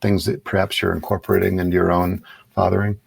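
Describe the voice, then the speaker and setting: A man with a low, gravelly voice hosts an interview podcast. He speaks in a conversational way, putting questions to a guest. The sound is dry and close. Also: level tone, quick pace, no echo.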